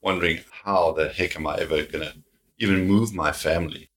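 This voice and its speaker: A man's voice: sits low, fairly even intonation, fairly quick, a bit bright, slight background noise. A middle-aged South African man whose first language is Afrikaans, telling his life story as an interview guest in an earnest way, often repeating words. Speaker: guest, security company founder.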